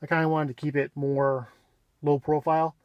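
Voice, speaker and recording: A man giving a casual, unscripted walk-around explanation in a plainspoken, halting way, pausing mid-phrase, recorded on a handheld camera mic around his vehicle. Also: on the high side, flat delivery, no room sound, lo-fi sound. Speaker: vlogger showing his own vehicle radio install.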